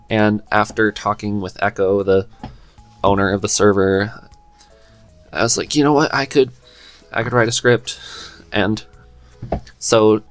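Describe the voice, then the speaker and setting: Podcast host, low voice, relaxed interview chat over faint music. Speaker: podcast host and script writer.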